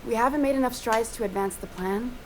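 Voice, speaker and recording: A woman playing an earnest, guarded research scientist in a video game, speaking in a formal, measured and articulate way. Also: a little high, slight background noise, close to an everyday voice, rough recording. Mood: pleading, earnest. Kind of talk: video game dialogue acting.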